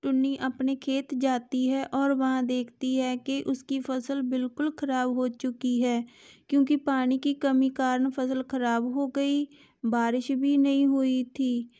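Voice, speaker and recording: A woman's voice: high-pitched, little pitch movement, slow, mellow, no echo. A woman narrating a scripted children's story.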